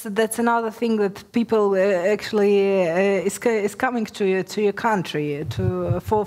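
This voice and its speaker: A woman's voice: mid-range voice, darkish tone. A woman moderating a panel discussion who hesitates often, with many fillers as she introduces a question.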